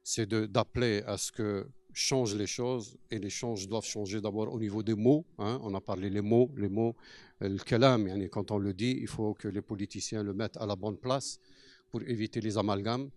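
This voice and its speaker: A man's voice: lowish voice, steady tone, normal speed, darkish tone, dry recording. A man speaking as cofounder of a cultural centre, giving an earnest testimony in a mosque and mixing Arabic phrases into his French.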